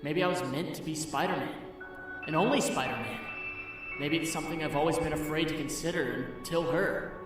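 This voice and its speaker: Guy doing a young superhero in a comic dub, kinda high voice, earnest and dramatic, music pretty loud behind him. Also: brisk, close to an everyday voice, poor audio. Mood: conflicted, musing. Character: earnest young male superhero, lovestruck and torn about identity.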